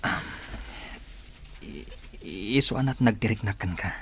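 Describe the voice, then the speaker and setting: A man playing an earnest, urging outlaw in an Ilocano radio drama, speaking deliberately in a husky, breathy voice. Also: lowish voice, expressive, a bit bright, exaggerated voice. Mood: earnest, tender. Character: earnest male member of an outlaw group, urging and devoted.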